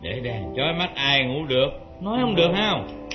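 A man's voice: medium pitch, sing-song, moderate pace, over music, natural voice. An actor playing a weak, hot-tempered husband and mother's boy in a melodrama, wheedling and blustering, with a southern Vietnamese accent. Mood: wheedling, evasive. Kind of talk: Vietnamese stage melodrama acting. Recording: dull lo-fi old drama recording.

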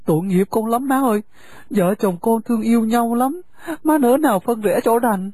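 A man's voice: extremely high pitch, normal speed, a little mellow, husky. An actor playing a young husband who earnestly pleads with his mother to spare his wife. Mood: pleading. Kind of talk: radio drama acting.

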